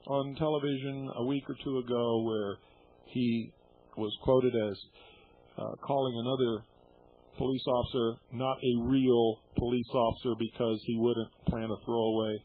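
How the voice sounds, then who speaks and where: A middle-aged radio host speaks bluntly and with open indignation, never shy of a curse word. His voice is husky and a little rough. It comes through a thin, tinny shortwave signal. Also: medium pitch. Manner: blunt, indignant, swears freely.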